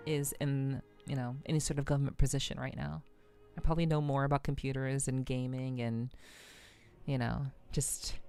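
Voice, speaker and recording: A woman talks casually to her livestream viewers. She rambles in a halting way, circling back over her own phrases. Faint music plays behind her. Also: sits low. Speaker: VTuber streamer.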